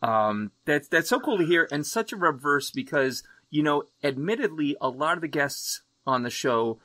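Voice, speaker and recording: A man's voice: a little high, lively intonation, moderate pace, pushed and bright, no room sound. A man from the United States hosting a podcast over a remote call, talking in an exuberant, casual way and swearing freely. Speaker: podcast host. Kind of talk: casual interview chat. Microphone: remote video call.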